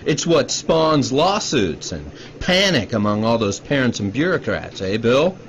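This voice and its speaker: Actor as a bitter, animated male scientist. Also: medium pitch, measured pace. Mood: quietly menacing. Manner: grave, sardonic, accusing. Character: bitter, sleepless male scientist, grimly vengeful. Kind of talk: radio drama acting. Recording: dull radio drama recording, faint noise.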